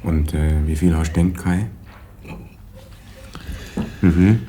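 An actor playing a cajoling escaped convict and needy younger brother, with a southern German Swabian accent, in a colloquial 1980s radio drama style. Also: male voice, low-pitched, fairly even intonation, slow pace, mellow, a little hiss or hum. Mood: hopeful, wheedling.

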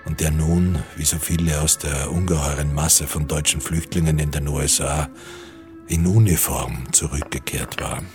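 A man narrating a literary radio drama about postwar times in a measured way. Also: extremely low pitch, fairly even intonation. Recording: music under the voice.

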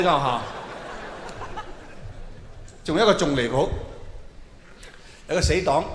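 High-voiced Hong Kong man doing stand-up, echoey hall. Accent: Hong Kong Cantonese. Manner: animated, mimics characters in mock dialogues, rhetorical questions. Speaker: stand-up comedian. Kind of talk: live stand-up comedy, observational storytelling with audience banter. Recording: echoey hall with long reverb, faint noise.

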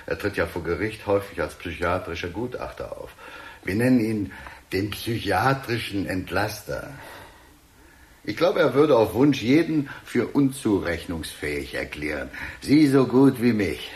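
An actor playing a composed, talkative police chief inspector in a German radio drama, speaking in a measured, wry, placating manner. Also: male voice, lowish voice, lively intonation, darkish tone. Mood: wry, explanatory.

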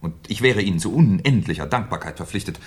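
Deep sly detective in an old radio play, super polite but needling, voice swings around a lot. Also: male voice, animated, slightly rough. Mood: mock-polite, insistent. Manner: ironic, mock-courteous, deliberate. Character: sardonic, shrewd Paris police inspector, needling with mock politeness. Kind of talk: 1970s German radio crime drama acting.

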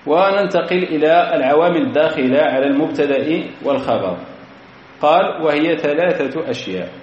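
A man's voice: on the high side, lively intonation, a little fast, slight background noise. Man, grammar teacher, methodical, repeats himself, long hall reverb, dull lo-fi sound. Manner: methodical, repeats key phrases, quizzes students. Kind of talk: classroom grammar lecture. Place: lecture hall. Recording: echoey hall, dull lo-fi recording.

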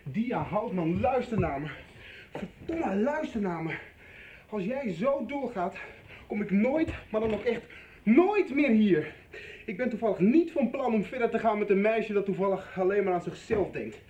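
A man's voice: high-pitched, expressive, moderate pace, a little mellow, a little hiss or hum. A man playing a headstrong, blunt young schoolboy boyfriend in a radio soap, in a roomy, echoing recording. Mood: angry. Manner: blunt, exasperated. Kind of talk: 1990s radio soap acting.

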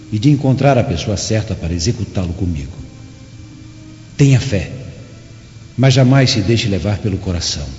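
Patient vengeful father, Brazilian accent, measured, paternal, mellow tone, roomy echo, music bed, radio drama. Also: low voice. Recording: dull audio, reverberant, music behind.

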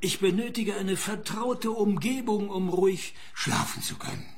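An actor playing a dry, world-weary, cheeky private detective in a radio play, deadpan and laconic in dialogue and narration. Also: male voice, high voice, moderate pace, crowd noise, lightly acted. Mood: cheeky, evasive. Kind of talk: radio play acting and first-person narration.

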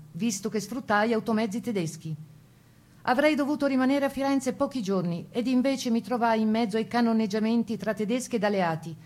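A woman's voice: a little high, a little mellow, close to an everyday voice. A woman playing a plucky Italian Resistance partisan, telling her wartime memories in the first person as a dramatized radio testimony. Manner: composed first-person storytelling.

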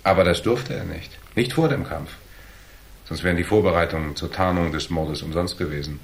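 Deep-voiced, shrewd hardboiled investigator. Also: male voice, a little fast. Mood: needling, explanatory. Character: cool, shrewd hardboiled male investigator, calmly laying out deductions. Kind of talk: German crime radio drama acting.

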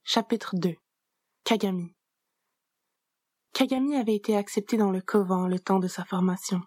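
A woman reads a story aloud in a calm, even way. Her voice sits at a middle pitch, recorded close and dry with no room echo. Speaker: narrator. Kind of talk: reading a fan story aloud.

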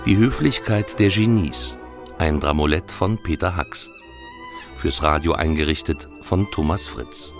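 A man's voice: low-pitched, unhurried. A man reading scripted closing credits as a radio announcer. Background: violin music.

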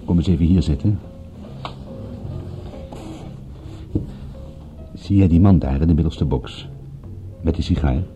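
A man's voice: a bass voice, brisk, dark tone, faint music behind. An actor playing a wry, observant male private detective who narrates and converses in a dry, sardonic radio-drama style. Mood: intrigued. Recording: dull, muffled old radio-play recording.

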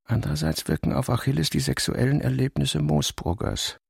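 Brooding male novelist, gravelly and deliberate, close dry sound, literary radio drama. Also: medium pitch, expressive, dry recording, full character voice. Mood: pensive, intent. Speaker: radio play reader. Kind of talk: literary radio reading of narration and working notes.

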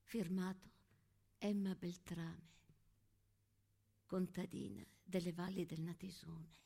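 A woman playing a plain, determined young peasant woman in an intimate, soft-spoken dramatic monologue. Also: on the low side, level tone, takes it slowly, vocal fry, husky, pitched below the performer's own voice, heavily acted. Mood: hurt, quietly resolute. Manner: soft, simple, earnest. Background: music.

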